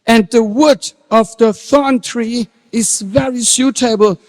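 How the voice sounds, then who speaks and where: Man playing a slow, high-voiced stern schoolteacher. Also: steady tone, a little mellow. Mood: outraged. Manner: deliberate, theatrical. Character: stern, easily outraged male religious schoolteacher. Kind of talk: stage dramatic reading. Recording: very reverberant hall.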